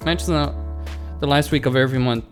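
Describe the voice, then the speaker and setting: A man's voice: mid-range voice, steady tone, normal speed. A man hosting a let's play, reading visual novel dialogue aloud and adding casual commentary, stumbling and correcting himself as he goes. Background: game music.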